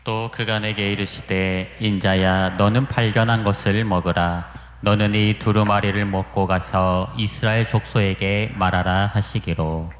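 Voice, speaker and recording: A man with a low voice reads scripture aloud slowly and solemnly. His voice echoes through a reverberant hall, with a faint room ambience in the background. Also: steady tone, slight background noise.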